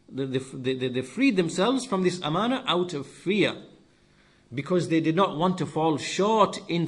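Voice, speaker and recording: A man teaching scripture in a sermon-like lesson, speaking in short deliberate phrases and repeating key words for emphasis. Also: fairly high pitch. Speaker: religious teacher.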